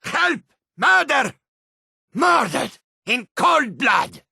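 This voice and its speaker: An actor playing a sly, menacing, proud humanoid cat warrior in a fantasy video game, with a husky, raspy voice and deliberate delivery. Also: male voice, extremely high pitch, very animated, sharp tone, dry recording, studio quality, heavily acted. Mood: alarmed, shouting. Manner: slow, deliberate, often speaks of himself in third person.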